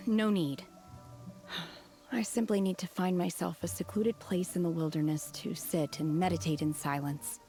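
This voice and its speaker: A woman voicing an aloof, solemn young hermit woman in a video game, speaking in a reserved, measured and formal way. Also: fairly low pitch, fairly even intonation, music in the background, lightly acted. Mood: reluctant, calm.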